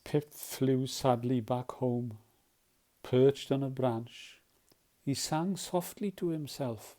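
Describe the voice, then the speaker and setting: A storyteller reading a children's picture book expressively, asking the listener questions and often dropping to hushed tones. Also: medium pitch, slow. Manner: animated, dramatic, often drops to hushed tones. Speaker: volunteer storyteller.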